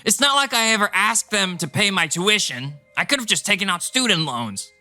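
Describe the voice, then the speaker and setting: Arrogant banker husband for manga dub, male actor: high, very forceful tone, condescending, music underneath. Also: big pitch swings, brisk, no echo, exaggerated voice. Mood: defensive. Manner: condescending, irritable. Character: arrogant, cold-hearted banker husband, sneering and selfish. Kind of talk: dubbed manga drama acting.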